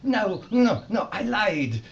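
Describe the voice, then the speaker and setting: An actor playing a frightened, pleading captured German guard with a German accent in an audio drama. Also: male voice, sits high, very animated, slow pace, full character voice. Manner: pleading, agitated. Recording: dull lo-fi recording with clear noise.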